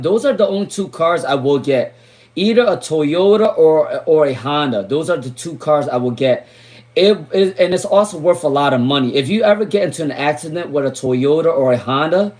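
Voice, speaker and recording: Raspy chatty man reading tarot. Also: fairly high pitch, expressive, moderate pace. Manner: chatty, drifts into asides and sung snatches. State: voice worn from talking all day. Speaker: tarot reader. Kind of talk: live-stream tarot reading.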